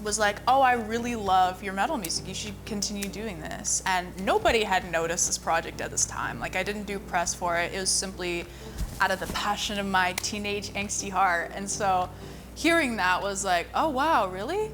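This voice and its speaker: A woman's voice: medium pitch, normal speed, background noise. A young woman, a singer and model, talking casually about her music with lots of "like" fillers. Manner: chatty, frequent "like" fillers. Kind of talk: casual personal storytelling. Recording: music playing underneath.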